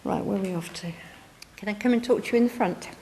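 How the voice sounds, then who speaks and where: A woman's voice: medium pitch, fast, warm tone, slight background noise, rough recording. A woman working as a medium, talking to a church audience in a chatty, coaxing way that circles back and repeats itself. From England. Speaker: spiritualist medium. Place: church hall.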